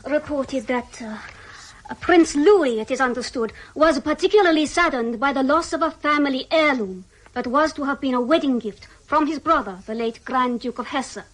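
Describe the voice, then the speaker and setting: Female Soviet researcher, actress, high voice, precise, formal, quietly confident, British radio play. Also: moderate pace, natural voice, poor audio. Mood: assured. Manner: formal, self-assured. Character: crisp, dutiful Soviet female research officer. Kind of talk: British radio drama acting.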